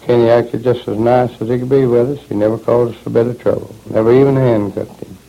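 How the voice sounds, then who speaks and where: A man with a Southern Appalachian accent and a gravelly voice, a deputy sheriff recounting events in a folksy, matter-of-fact way. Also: medium pitch, little pitch movement, fast talker. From Appalachian Tennessee, United States. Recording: dull old lo-fi recording.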